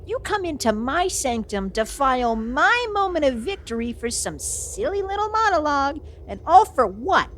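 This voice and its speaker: An imperious villainous spellcaster queen from a fantasy audio drama, played by a woman. The voice is high and swings dramatically up and down, quick to take offence and full of melodramatic indignation. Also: big pitch swings, fairly bright tone, a little hiss or hum. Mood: haughty, threatening. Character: haughty, easily affronted young female evil sorceress queen. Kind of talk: ASMR audio roleplay acting.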